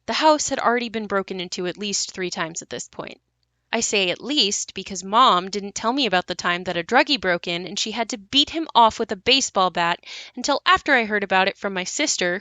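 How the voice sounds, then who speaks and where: An actress plays a worried grown daughter telling family memories in an audio drama. Her voice is bright and forward, close and dry, with a conversational, matter-of-fact delivery. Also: mid-range voice, expressive, a little fast, no room sound. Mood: worried, confiding. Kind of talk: audio play monologue, conversational.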